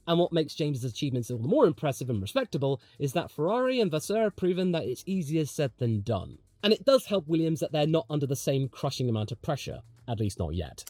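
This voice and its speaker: A man delivers an opinionated sports video essay in a somewhat high voice. His intonation is very animated, with emphatic points and rhetorical asides that sit between script and chat. The sound is dry, with no room around it. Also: no echo. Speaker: motorsport commentary presenter.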